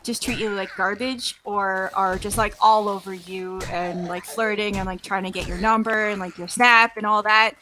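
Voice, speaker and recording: Bright-voiced young woman chatting casually. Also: mid-range voice, fairly even intonation. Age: millennial, young adult. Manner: informal, halting, peppered with "like". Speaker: interview guest, voice actress and gamer. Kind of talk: casual chat.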